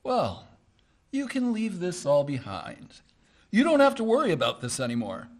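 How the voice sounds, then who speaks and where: An actor playing a smug, patronizing wealthy suitor. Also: male voice, high-pitched, wildly swooping pitch, normal speed, exaggerated voice. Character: smug condescending rich suitor. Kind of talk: stage-play acting.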